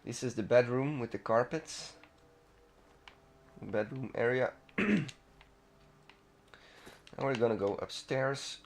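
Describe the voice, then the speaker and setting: A man narrates a video game walkthrough as its host. He talks casually and off the cuff, often breaking off and restarting his sentences as he rambles along. His pitch sits in an average male range. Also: steady tone, takes it slowly, long pauses.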